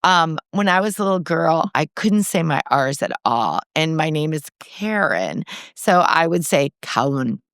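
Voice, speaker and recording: An older woman with a low voice co-hosts a casual podcast, recounting stories with animated restarts and the odd laugh. The sound is close and dry. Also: unhurried, clean tone, no echo. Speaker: podcast co-host, mother. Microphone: headphones on, studio-style setup.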